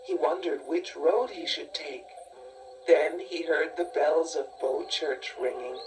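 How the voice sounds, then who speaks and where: A woman reading a scripted children's story in a measured, expressive storytelling manner. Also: low voice. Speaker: storybook narrator. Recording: distant-sounding cassette tape transfer. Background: music.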